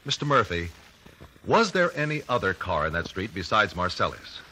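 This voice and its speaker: An actor playing a methodical, confident trial lawyer in an old-time radio drama, American, questioning formally and precisely. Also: male voice, lowish voice, very animated, moderate pace, pushed and bright, heavily acted, rough recording. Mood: insistent. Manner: precise, formal cross-examining. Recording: raspy vintage 1950s radio recording.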